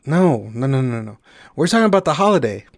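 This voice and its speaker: A man's voice: mid-range voice, sing-song, gravelly, no echo. Playful male podcast host, very dry sound. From Mexico. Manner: playful, drawn-out emphasis, drops in Spanish. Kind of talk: bantering comedic podcast talk.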